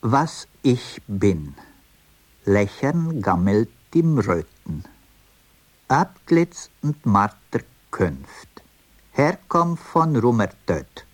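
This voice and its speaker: Man reading a weird poem real slow, some whispered bits, sing-song pitch. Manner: slow, deliberate, partly whispered. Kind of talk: poetry recitation.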